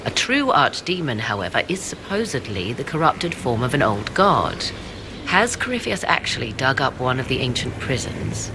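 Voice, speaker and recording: A proud, secretive sorceress from a fantasy game. Her low voice crackles with fry as she talks in measured, old-fashioned, scholarly phrases. There is clear background noise. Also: big pitch swings, exaggerated voice. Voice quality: low, creaky. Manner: formal, archaic, measured phrasing. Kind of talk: video game dialogue acting.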